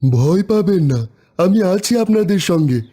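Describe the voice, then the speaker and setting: Man acting a high-voiced humble village worker. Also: animated, moderate pace, dark tone, husky. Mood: loyal, firm. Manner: earnest, respectful. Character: loyal, humble young village worker seeking a living. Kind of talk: cartoon dialogue acting.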